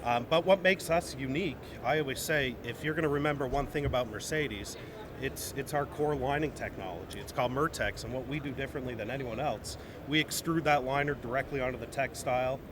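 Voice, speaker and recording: Guy pitching his company in a casual interview, mid voice, repeats stuff for emphasis, noisy background. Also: fairly quick. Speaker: company representative, interview guest. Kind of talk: informal trade show interview. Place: trade show event. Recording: noisy busy venue background.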